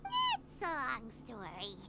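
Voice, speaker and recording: A tiny fairy companion for a video game, childlike and extremely high-pitched. She is kind and curious, and her melody swings brightly up and down. The capture is very noisy. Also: huge pitch swings, slow, exaggerated voice. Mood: excited. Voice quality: squeaky, childlike. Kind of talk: video game dialogue acting.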